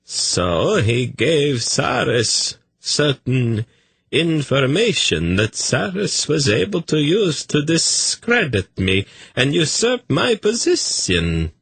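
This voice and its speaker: A languid, dreamy eunuch courtier speaks in a voice lifted well above a man's usual register, as a male reader puts him on. The words stretch out slowly and softly, with a faint husk. The sound is close and dry. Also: medium pitch, very animated, gravelly, breathy edge, dry recording, pitched above the performer's own voice, heavily acted. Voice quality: dreamy, drawn-out delivery.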